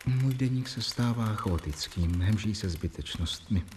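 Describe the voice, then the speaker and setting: Lowish man narrating a diary, kinda distant, faint hiss. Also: lively intonation. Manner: reflective, measured. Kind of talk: radio drama narration.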